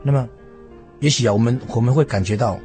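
A man's voice: medium pitch, fast, warm tone. Male preacher explaining scripture, music behind. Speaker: preacher, interview guest.

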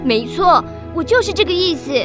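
A scolding but caring big sister talks in a high, young voice. Her delivery is brisk and bossy, in children's radio drama style. Music runs clearly underneath. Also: animated. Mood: soothing.

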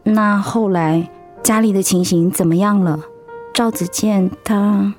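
A woman's voice: medium pitch, steady tone, measured pace, darkish tone, close to an everyday voice. Woman acting a tender middle-aged wife, music behind. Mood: concerned, sympathetic. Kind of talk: radio drama acting. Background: background music.

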